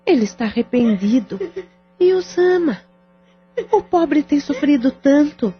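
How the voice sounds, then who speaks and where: A caring friend in an audio drama, coaxing and reassuring in a fairly high voice. The sound is dull and lo-fi, with soft music in the background. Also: female voice, expressive, a little slow. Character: caring, persuasive female friend.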